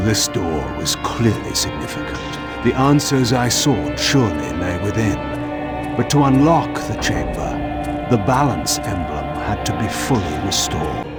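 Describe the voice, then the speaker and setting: An actor playing a proud, brooding vampire lord, narrating a video game in a grand, deliberate, theatrical way. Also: male voice, deep voice, full character voice. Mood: measured, pondering. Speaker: narrator and protagonist. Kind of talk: scripted dramatic game narration.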